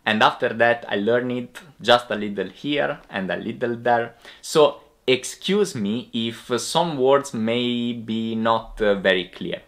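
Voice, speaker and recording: Slow-speaking Italian-accented male preacher. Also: mid-range voice, a bit bright. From Italy. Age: 20s. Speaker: evangelist preacher. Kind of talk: sermon addressed to camera. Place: indoor room with bookshelves.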